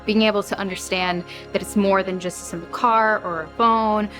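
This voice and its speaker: A woman gives a short introduction of herself as an engineer. Her voice sits at a middle pitch, with music clearly playing behind it. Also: steady tone, moderate pace, rough recording. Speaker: panelist, mechanical engineer.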